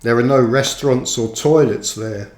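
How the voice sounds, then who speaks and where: A man reading his own book aloud as scripted narration, with measured, deliberate phrasing. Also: medium pitch, darkish tone. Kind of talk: audiobook-style scripted narration. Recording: roomy, echoing sound.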